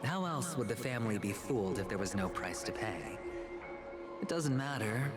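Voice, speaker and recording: Over loud music, a male actor plays a smug young high-stakes gambler from a video game. The delivery is sardonic and self-assured, with a lively, expressive pitch. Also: normal speed, natural voice. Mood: confident. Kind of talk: dramatic video game voice acting.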